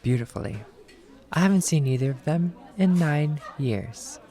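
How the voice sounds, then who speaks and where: A man's voice: a little high, lively intonation, moderate pace, warm tone, close to an everyday voice. A man playing a short-tempered, sarcastic bounty hunter and ex-cop, blunt and dismissive, in an acted film script read. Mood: guarded, weary.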